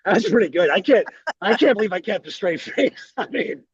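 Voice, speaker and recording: A man's voice: high voice, expressive, normal speed, breathy edge, no echo, lo-fi sound. A man on a panel call, joking and self-mocking as the group's comic relief. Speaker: panel guest. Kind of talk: casual panel chat.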